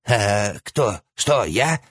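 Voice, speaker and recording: A man's voice: fairly low pitch, expressive, drawn-out words, fairly bright tone, husky, no echo, clean studio sound, pitched above the performer's own voice, full character voice. A man voicing the preachy, moralizing right head of a three-headed hellhound. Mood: lecturing. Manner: moralizing, lecturing.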